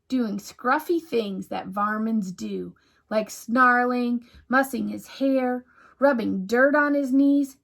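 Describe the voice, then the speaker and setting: A woman reading a children's storybook aloud like a teacher to young pupils, deliberate, emphatic and expressive. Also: a little high, creaky.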